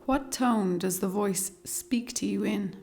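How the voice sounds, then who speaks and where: A woman leading a guided meditation, speaking slowly and calmly in a soothing, measured way with long pauses. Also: mid-range voice, creaky. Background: meditation music with singing bowls.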